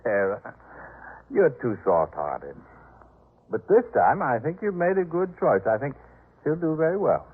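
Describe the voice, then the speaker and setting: On a narrow, tinny old radio recording, a proud master of the house. He is pompous and patronizing, with a husky edge and widely swinging intonation, in American 1950s radio drama style. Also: a little high, very animated, measured pace, long pauses, exaggerated voice. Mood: musing, self-satisfied. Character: proud, boastful household master eager to impress.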